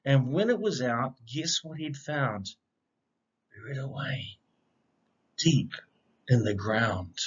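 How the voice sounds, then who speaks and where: A middle-aged man reading a rhyming children's picture book aloud in an expressive, measured storytelling manner. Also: mid-range voice, breathy edge. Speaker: storybook reader, educator. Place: indoor room. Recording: slightly dull, lo-fi webcam sound.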